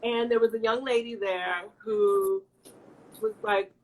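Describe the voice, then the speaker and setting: A woman's voice: a little high, fairly even intonation, takes it slowly, a little mellow, slight background noise, poor audio. A middle-aged woman from the American Midwest, chatting casually as an interview guest, laughing often and restarting her sentences.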